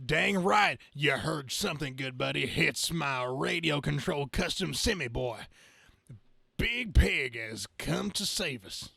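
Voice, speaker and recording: A man's voice: on the high side, lively intonation, slow, creaky, bright, forceful tone, husky, no echo, clean studio sound, heavily acted. A man voicing a folksy, good-old-boy trucker superhero in an amateur comic-book reading. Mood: jubilant, hearty.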